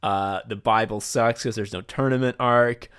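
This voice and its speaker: A man's voice: fairly low pitch, fairly even intonation, moderate pace, fairly bright tone, smooth. Male podcast host, enthusiastic rambler, loose conversational delivery, casual swearing. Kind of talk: casual behind-the-scenes chat.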